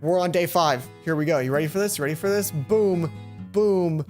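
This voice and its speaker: A woman's voice: fairly low pitch, moderate pace, a little mellow. A woman hosting a let's play, reading a visual novel aloud and adding her own side comments. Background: game music.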